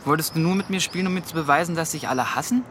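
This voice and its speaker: A man's voice: a little high, very fast, a bit bright, slight background noise, natural voice. A young male actor playing a sensitive teenage boy who both talks and narrates in a radio play. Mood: teasing, uneasy.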